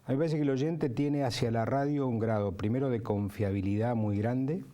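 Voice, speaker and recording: A man's voice: mid-range voice, moderate pace, dark tone. A man explaining his view methodically in a reflective interview. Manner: methodical, enumerating points.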